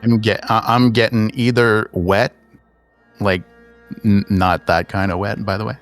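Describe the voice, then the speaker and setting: A man's voice: on the low side, steady tone, unhurried, faint music behind. A man playing a tabletop game, speaking in character and asking about the scene. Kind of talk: in-character roleplay and table talk.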